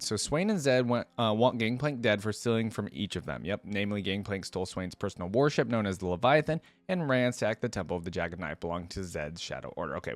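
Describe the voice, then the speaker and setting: A man's voice: fairly low pitch, dry recording. A man hosting a reaction video, reading aloud and commenting in an informal, chatty way.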